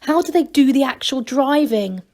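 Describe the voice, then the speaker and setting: A woman's voice: high voice, lively intonation, moderate pace, a little mellow, voice pushed up. A worried gnome leader in a children's story, read by a woman. The voice is raised a little, polite and hesitant, anxious yet kind.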